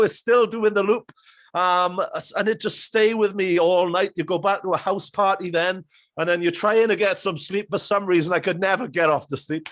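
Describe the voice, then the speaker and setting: A man's voice: high-pitched, a bit bright. A man hosting a podcast interview, chatting eagerly and rambling through memories. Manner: enthusiastic, rambling with fillers. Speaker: podcast host. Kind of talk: casual interview chat.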